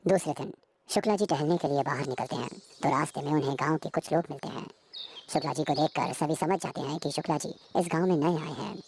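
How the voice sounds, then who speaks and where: A woman's voice: sits low, brisk, a little mellow, slight background noise. A woman reading a scripted horror story as its narrator.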